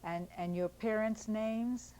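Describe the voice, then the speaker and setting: Measured, polite woman interviewer with a warm, mellow tone. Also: mid-range voice, clear, resonant tone. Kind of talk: formal interview questions.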